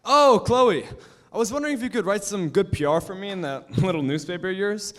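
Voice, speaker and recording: A young man playing a cocky, self-centered high school boy in a staged reading. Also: expressive, a little fast, a bit bright. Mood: smug. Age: young adult. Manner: cocky, mocking. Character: smug, arrogant teen boy class king.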